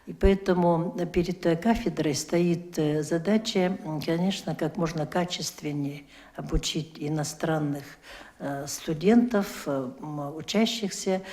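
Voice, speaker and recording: A woman's voice: low voice, a little slow, mellow. A woman university lecturer speaking at a round-table discussion, explaining her points and asking rhetorical questions. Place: media center.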